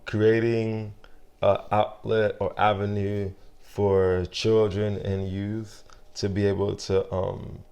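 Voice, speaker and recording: A young man from Nigeria, a guest in a casual interview, talking in a soft, reflective way with many fillers and restarts. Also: on the low side, level tone, slow pace, creaky, clean tone, highs cut off.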